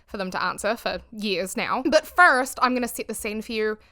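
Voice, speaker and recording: A woman's voice: medium pitch, lively intonation, normal speed, pushed and bright. A woman from New Zealand with a Kiwi accent, hosting a casual commentary vlog from her bedroom, chatty and full of fillers. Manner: chatty, filler-heavy, laughs between phrases. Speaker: YouTube commentary host. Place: bedroom at home.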